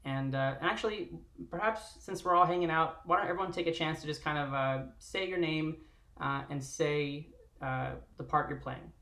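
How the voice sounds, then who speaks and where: A man's voice: fairly high pitch, moderate pace, pushed and bright. A man casually hosting a live table read in an informal, easygoing way. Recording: echoey room on livestream call.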